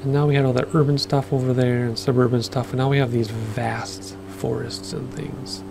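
A man, rambling casually through gameplay commentary with lots of fillers, his voice a bit hoarse from losing it. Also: medium pitch, steady tone, normal speed, background noise. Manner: rambling, chatty, with many fillers. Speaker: flight sim gaming host.

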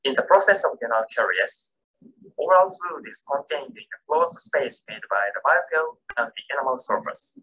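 A man whose first language is Japanese, reading a scripted academic lecture as keynote speaker in a careful, measured way. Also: mid-range voice, big pitch swings, a bit bright, breathy edge, muffled recording. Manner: careful, measured reading with non-native grammar.

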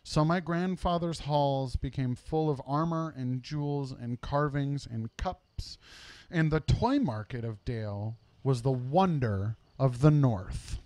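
A man's voice: medium pitch, slow, dark tone, no echo, natural voice. A man voicing a proud, self-important dwarf leader in a storybook, with a pompous, grandiose way of telling things. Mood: proud, reminiscing.

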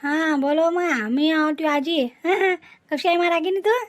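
Cartoon grandmother, played by a woman, elderly, high voice, teasing scold, rural Varhadi Marathi accent. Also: measured pace. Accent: Maharashtrian, Varhadi Marathi. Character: nagging affectionate old village grandmother. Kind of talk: cartoon family drama dialogue.

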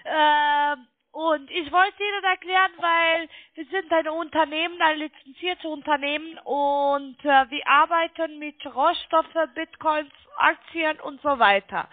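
A woman's voice: high voice, fairly even intonation, measured pace, no room sound. A woman speaking non-native German with a foreign accent, stuffed up with a cold, working as a telephone sales caller. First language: not German. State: congested with a cold. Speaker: call center trading sales caller. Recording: muffled band-limited phone line.

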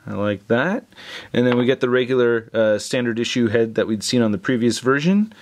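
Casual man reviewing toys, mid-pitched. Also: fairly quick. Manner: chatty, informal. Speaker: toy reviewer. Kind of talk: casual hands-on product review.

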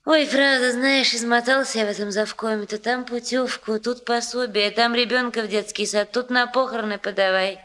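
A young working-class bride-to-be played by an actress from a 1960s Soviet radio play. Her voice is high and bright, almost sharp, and she talks in a gushing, homely, self-deprecating way. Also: fairly even intonation, normal speed, few pauses, natural voice. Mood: frank, chatty. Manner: colloquial, chatty, gushing.

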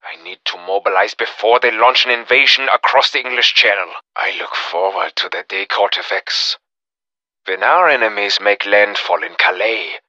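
A menacing German officer from a video game, speaks with a German accent in a hard, intensely forceful voice. He is fanatical, cruel and theatrical, issuing threats and orders with deliberate contempt. The sound is dry and close, with a slightly dull top end. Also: on the low side, dry recording. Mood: resolute. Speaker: villain character in a video game. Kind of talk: video game villain voice acting, menacing monologue.

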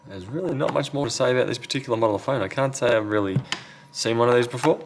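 A man's voice: mid-range voice, very fast. A man giving casual, unscripted commentary as he works at an indoor repair bench, sometimes muttering to himself. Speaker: phone repair technician and video host. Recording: clear background noise.

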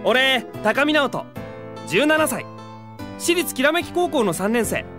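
A man playing an easygoing, teasing seventeen-year-old high school hero in an anime-style audio drama. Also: sing-song, slow, music in the background, exaggerated voice. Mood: earnest narrating.

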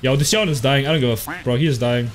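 A young man from Germany with a heavy German accent, excitably chatting with his stream chat while playing, swearing and laughing freely. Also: medium pitch, racing, soft background music. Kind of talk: casual live game commentary, reading chat. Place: small room at home.

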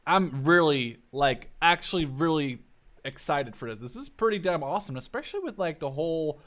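A man in his twenties giving casual, excitable let's play commentary, thinking out loud as he goes. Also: on the high side, normal speed. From Canada or the Netherlands. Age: 20s. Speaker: gaming video host.

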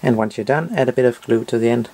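A man's voice: medium pitch, quick pace, dark tone. A man narrating a step-by-step DIY tutorial in short, simple, matter-of-fact instructions.

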